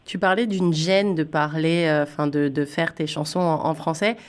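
A woman's voice: deep voice, steady tone, normal speed, smooth. A woman hosting a conversational radio interview, chatty and inclined to explain her thoughts as she goes. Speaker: radio host and music interviewer.

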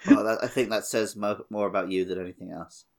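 A man's voice: fairly low pitch, fairly even intonation, fast talker. A man co-hosting a podcast, talking casually about a video game with friends. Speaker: podcast co-host.

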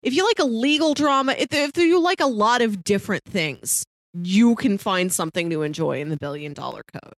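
A woman with a medium-pitched voice speaks as a podcast co-host. She reviews in a casual, conversational style, and the sound is dry with very little room echo. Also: lively intonation, a little fast, few pauses.

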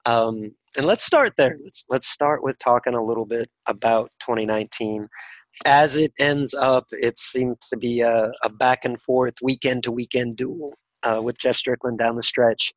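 A man's voice: mid-range voice, moderate pace, no room sound, rough recording. A man hosting a drag racing podcast, talking casually with many fillers while getting over a cold that has nearly taken his voice. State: recovering from a cold, near losing voice. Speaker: podcast host. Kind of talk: podcast interview.

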